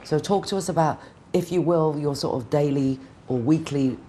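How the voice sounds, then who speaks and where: A woman born in Britain, a TV presenter asking questions in a chatty, animated way. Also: sits low, moderate pace, a little hiss or hum. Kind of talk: TV interview.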